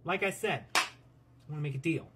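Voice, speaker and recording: A man's voice: on the high side, brisk, very noisy, lightly acted. A man playing a pleading, wheedling young small-timer who asks for favors.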